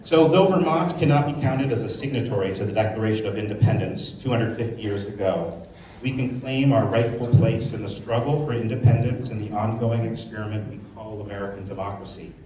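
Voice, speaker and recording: A man with a fairly low voice delivers opening remarks at a museum. His delivery is that of a staff speaker addressing a gathering, informal to measured. The room is very echoey, the microphone sits at some distance, and there is faint noise. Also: dark tone. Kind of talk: public speech, part off-the-cuff, part scripted. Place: indoors in a museum.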